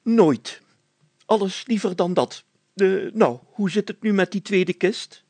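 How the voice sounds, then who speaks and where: A man's voice: high-pitched, slow, darkish tone, no echo, voice pushed up, full character voice. A man voicing a pompous, grumpy, whiny and stubborn mayor in a children's story, blustering and complaining as he gives orders. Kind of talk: children's storybook character acting.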